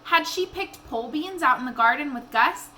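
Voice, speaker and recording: A woman reading a children's novel aloud in an expressive storytelling style. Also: high voice, moderate pace, slight background noise.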